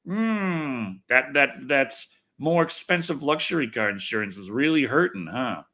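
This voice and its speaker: Male livestreamer, lively swinging pitch, casual rambling delivery, wry and exasperated with salty language. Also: unhurried. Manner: wry, exasperated, sweary griping. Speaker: livestream host. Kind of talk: casual rambling livestream chat, reading comments.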